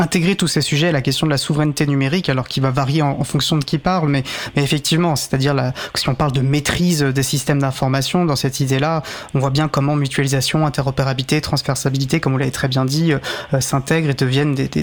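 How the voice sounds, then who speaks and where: A man hosting a radio interview, halting and repeating words as he builds long-winded questions. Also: medium pitch, fairly even intonation. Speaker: radio show host.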